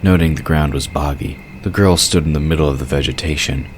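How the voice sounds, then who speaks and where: A man's voice: very deep voice, fairly quick. A man reading scripted third-person narration for a fantasy audio drama. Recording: clear background noise of night crickets.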